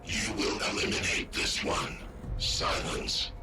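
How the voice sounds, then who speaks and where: An actor playing an ancient, malevolent war-machine intelligence in a video game, with a synthetic booming voice and terse, imperious declarations. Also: male voice, slow, lightly acted. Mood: commanding, menacing. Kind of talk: scripted video game acting. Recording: loud music and rumble behind.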